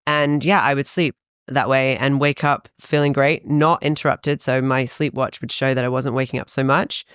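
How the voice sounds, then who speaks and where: A woman in her thirties, a fitness coach, chatting in a podcast interview, animated and quick with asides. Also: rumbling low, clear, resonant tone, no room sound, clean studio sound.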